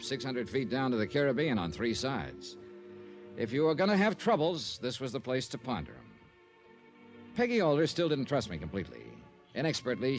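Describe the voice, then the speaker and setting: A man playing a wry, easygoing insurance investigator who narrates his own case in an old-time radio detective drama. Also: mid-range voice. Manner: wry, dry wisecracks. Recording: vintage radio recording with faint music.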